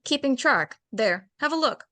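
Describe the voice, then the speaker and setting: Brief: woman playing an officious she-bear for a radio drama, high, bright and lively. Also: no room sound, heavily acted. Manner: brisk and procedural. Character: orderly, officious female bear council member. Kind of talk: radio drama table read.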